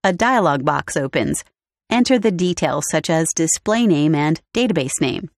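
Low-voiced woman reading a software tutorial. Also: normal speed, no echo, clean studio sound. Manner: clear, even instructional delivery. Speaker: tutorial narrator. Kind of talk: scripted product walkthrough narration. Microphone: studio voice-over.

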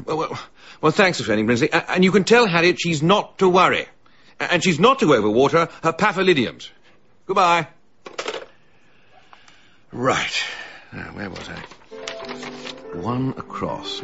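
A fussy middle-aged British man in a radio drama. His voice is husky and very varied in pitch, hesitating and then turning emphatic. The recording is slightly dull. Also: a bit bright, full character voice. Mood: huffy, indignant. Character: fussy, huffy middle-aged English gentleman with old-fashioned tastes. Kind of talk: BBC radio drama acting.

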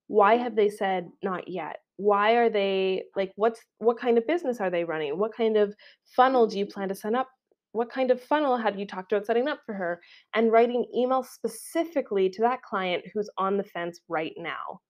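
A woman's voice: mid-range voice, muffled recording. A young woman with a Canadian accent, hosting a solo podcast in a casual, chatty way with frequent fillers. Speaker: podcast host.

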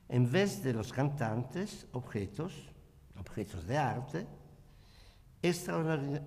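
A man's voice: medium pitch, darkish tone, in a large hall. An older Italian man speaking Spanish with an Italian accent, reading a reflective lecture from notes at a panel table. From Italy. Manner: slow, measured, reflective reading. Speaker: guest speaker. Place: conference hall at a panel table. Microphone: table microphone.

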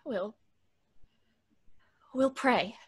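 High-voiced, frightened, fragile young-sounding heroine. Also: female voice, slightly rough, exaggerated voice. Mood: anxious pleading. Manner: halting, pleading, repetitive. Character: frightened, fragile woman slipping into hysteria. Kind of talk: old-time radio horror drama acting.

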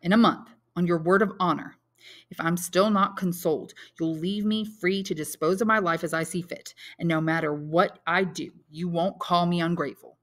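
An anguished young soldier, as a woman reader puts him on, lifts his voice high above her own and pleads in passionate bursts. He sounds on the edge of despair, lovesick and restless. The pitch sits low-set, like a woman's. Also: steady tone, moderate pace, natural voice. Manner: pleading, impassioned.

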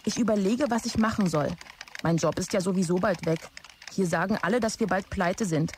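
A woman playing an aggrieved office assistant in a radio drama, confiding in a matter-of-fact way with a husky voice. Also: medium pitch, brisk, a little hiss or hum, lightly acted.

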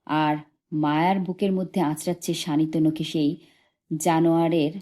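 A woman reads literary fiction as an audiobook narrator. Her voice sits a little low and is very soft-edged and mellow, and her scripted delivery is expressive storytelling. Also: moderate pace. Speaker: audiobook reader.

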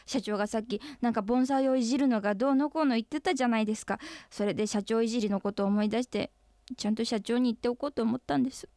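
Woman as insistent office worker, high voice. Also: fairly even intonation, fast talker, dark tone, no room sound, clean studio sound, lightly acted. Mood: earnest, emphatic. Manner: pressing, indignant, forthright. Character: earnest, insistent female office employee confronting her boss. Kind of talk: comic radio drama acting.

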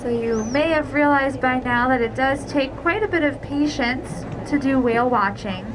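High-voiced woman explaining whales at sea. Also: normal speed. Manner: calm, explanatory. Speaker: whale watching tour naturalist. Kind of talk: educational tour commentary. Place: outdoors on a moving boat at sea. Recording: loud boat engine and wind noise.

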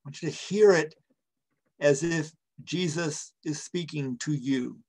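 A man in his sixties preaching a reflective sermon as a pastor, speaking deliberately in short phrases with pauses, into a computer microphone in a small room. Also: a little high, a little mellow, husky. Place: small room at home, indoors. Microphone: computer webcam or video call microphone.

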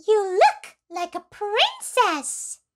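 A woman's voice: extremely high pitch, wildly swooping pitch, very slow, heavily acted. A woman voicing a cheerful, bossy little chick who helps a girl dress up, bubbly and sing-song for a children's puppet show. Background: children's music.

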